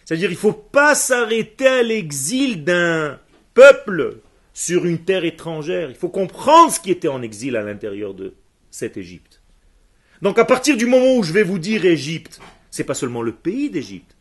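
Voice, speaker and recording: A man's voice: fairly high pitch, very animated, fairly bright tone, breathy edge. A man who grew up speaking Hebrew, teaching a class emphatically and firing questions at his students. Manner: emphatic, asks the room questions, answers students quickly. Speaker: religious teacher.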